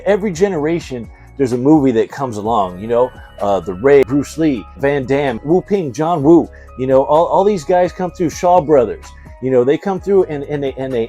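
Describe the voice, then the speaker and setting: A man talks like an enthusiastic vlog host, casual and full of slang. His voice is warm and relaxed at an average pitch. Music plays faintly behind him as he chats excitedly. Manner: excitable, slangy, chuckles often. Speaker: host of a toy and movie review channel.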